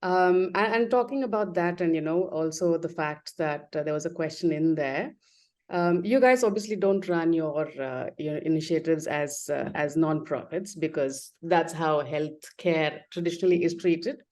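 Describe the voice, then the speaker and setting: Woman panel moderator, slightly low voice, chatty and affirming. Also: normal speed, a little mellow. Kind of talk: conversational moderating.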